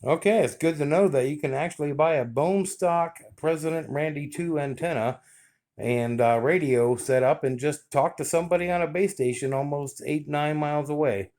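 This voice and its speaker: A man's voice: a little high, normal speed, a little mellow, a little hiss or hum. A man reviewing and testing a radio in a plain-spoken, explanatory way, as a hands-on demonstration.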